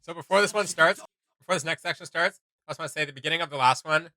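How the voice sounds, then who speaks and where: A man reacts in casual, excitable chat with a very bright, forceful voice. It sits a little high and sounds close and dry, and his delivery is jokey. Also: racing, no room sound, rough recording. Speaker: reaction video host.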